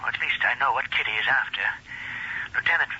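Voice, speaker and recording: An actor in a 1970s radio drama playing a wary museum professor, speaking in a measured, hesitant way with stammers. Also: male voice, mid-range voice, bright, forceful tone. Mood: resolute. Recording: dull, hissy old radio broadcast.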